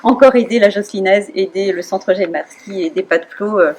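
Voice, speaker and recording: A woman's voice: medium pitch, a little fast, darkish tone, slight background noise. A woman organizing a charity event, announcing dates and thanking volunteers in a friendly, encouraging way.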